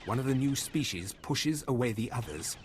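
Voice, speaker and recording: A man's voice: mid-range voice, lively intonation, normal speed, close to an everyday voice. A man playing a worried, exasperated scientist in a video game, grave and pointed. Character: worried, exasperated male professor of xenozoology. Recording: noisy game ambience.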